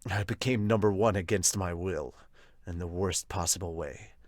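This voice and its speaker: Stern middle-aged anime hero played by a man: deep, quiet, solemn delivery heavy with remorse. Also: moderate pace, dry recording, clean studio sound, natural voice. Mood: grim, reflective. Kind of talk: anime manga fan dub.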